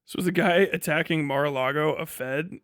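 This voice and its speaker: A man hosts a livestream in a casual, irreverent voice that sits on the high side. He jokes and swears freely as he comments. The sound is close and dry, with no room around it. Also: brisk, a bit bright, dry recording. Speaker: livestream host.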